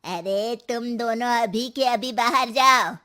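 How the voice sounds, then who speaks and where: A woman's voice: fairly high pitch, slow, sharp tone. A woman playing a bossy, fearless elderly mother-in-law in a comic cartoon story, scolding and sarcastic. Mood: fierce, defiant. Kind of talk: comic Hindi animated story acting.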